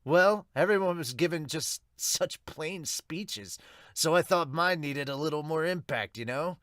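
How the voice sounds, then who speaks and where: A man's voice: fairly high pitch, lively intonation, measured pace, a bit bright, dry recording, studio quality, voice pushed up. A man voicing a cocky young male superhero in an amateur manga dub, with a cheeky, casual, provoking manner. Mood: breezy.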